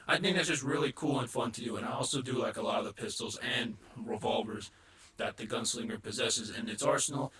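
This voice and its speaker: Fast-talking man with a robotic-sounding voice. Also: mid-range voice, reverberant, low-quality recording. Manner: chatty, keeps repeating "not gonna lie". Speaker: gaming video creator. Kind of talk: casual gaming tier-list commentary.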